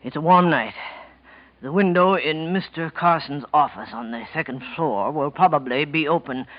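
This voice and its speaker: A polite bookkeeper played by a male actor speaks earnestly in a fairly high man's voice, calmly scheming behind a show of sincerity. It sounds like a dull, muffled 1940s radio drama. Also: normal speed, lightly acted. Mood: smooth, instructing.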